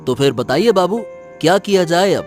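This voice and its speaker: A man plays a villain's henchman in a cartoon village tale, deferential and conspiratorial. The voice is high for a man and very animated, over faint music. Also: normal speed. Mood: conspiring, wary.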